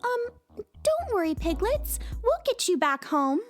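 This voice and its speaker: A woman's voice: very high voice, sing-song, measured pace, heavily acted. A woman playing a kind, firm and bubbly young girl adventurer in a children's audio drama. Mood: caring.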